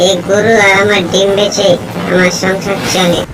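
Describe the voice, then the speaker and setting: A woman's voice: medium pitch, level tone, moderate pace, lightly acted, rough recording. Woman as a humble, grateful cartoon villager. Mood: grateful, warm. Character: grateful, humble villager keeping cows and selling eggs. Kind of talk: Bengali cartoon moral-story acting.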